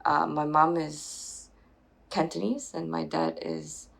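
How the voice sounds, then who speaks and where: Low, gravelly woman curator. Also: fairly even intonation, rough recording. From Singapore. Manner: halting, many restarts and fillers, reflective. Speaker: curator and PhD researcher. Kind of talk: thoughtful interview answers.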